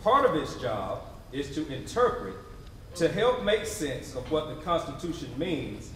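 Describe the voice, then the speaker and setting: A man's voice: fairly high pitch, big pitch swings, fairly bright tone. Male stage narrator, very echoey room. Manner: deliberate, emphatic storytelling. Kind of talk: scripted dramatic narration in readers theatre. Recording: very echoey room, distant mic, responsive audience.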